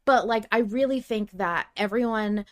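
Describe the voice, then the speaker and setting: A woman hosting a podcast, chatting casually and debating in an animated, playful way. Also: mid-range voice, moderate pace. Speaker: podcast host. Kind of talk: casual chat about an audio drama.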